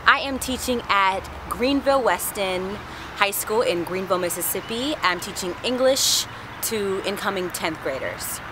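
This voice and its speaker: A woman in her mid twenties introduces herself as a new teacher. Her voice sits at a medium pitch. Clear ambient noise surrounds her. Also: animated, normal speed. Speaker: teacher in training. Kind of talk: self-introduction to camera. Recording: noisy ambient recording.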